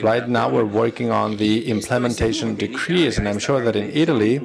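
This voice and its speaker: A man giving a live interpretation of an academic conference talk, speaking haltingly phrase by phrase and often rephrasing. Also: mid-range voice, creaky, a crowd in the background.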